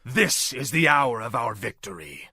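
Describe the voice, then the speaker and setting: A man playing a grim young death knight in a fantasy game, curt and commanding, with a hard raspy edge. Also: medium pitch, big pitch swings, measured pace, bright, forceful tone, no echo, clean studio sound, exaggerated voice. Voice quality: raspy, gravelly, hard-edged. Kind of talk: dramatic fantasy game acting.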